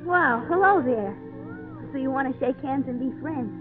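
A woman's voice: high-pitched, expressive, normal speed, music in the background. A woman playing an earnest, polite, folksy little boy in an old-time radio drama. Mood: friendly, wondering. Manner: folksy, wide-eyed, hesitant. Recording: tinny band-limited 1940s radio recording.

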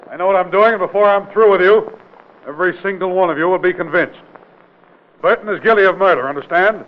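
A man's voice: sits high, quick pace, close to an everyday voice. Man playing a commanding radio-drama investigator, tinny vintage recording. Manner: authoritative, brusque, insistent rhetorical questioning. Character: forceful, commanding male state investigator exposing a killer. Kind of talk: 1940s-50s radio drama acting.

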